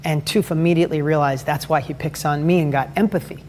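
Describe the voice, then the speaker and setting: A man with a high-pitched voice gives a motivational talk as a father and host, telling stories energetically and rambling with restarts. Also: fairly even intonation, fast, darkish tone, a little hiss or hum. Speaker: host and father giving advice.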